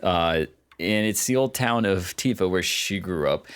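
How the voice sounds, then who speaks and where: A man co-hosting a podcast, chatting casually about video games and haltingly searching for his words. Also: deep voice, animated, fairly bright tone, clean tone. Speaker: podcast co-host.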